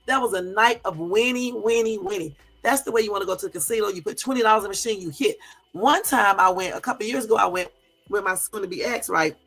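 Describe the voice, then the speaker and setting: A middle-aged woman, hoarse from a cold, hosting a casual livestream and telling stories straight to her viewers. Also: medium pitch, a bit bright. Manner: chatty storyteller, talks straight to viewers. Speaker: YouTube livestream host.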